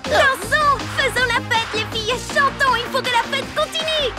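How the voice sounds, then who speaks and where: A woman's voice: very high-pitched, moderate pace, loud music behind the voice. A bubbly cartoon teen girl. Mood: excited. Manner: bubbly, exclamatory, upbeat. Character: bubbly cheerful teen girl, party lover. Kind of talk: French cartoon dubbing.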